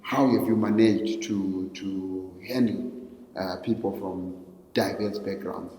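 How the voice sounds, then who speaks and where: Deep-voiced Zimbabwean man hosting a talk show. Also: steady tone, takes it slowly, mellow, echoey room, long reverb tail, low-quality recording. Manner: mixes English and Shona, frequent affirming murmurs. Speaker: host.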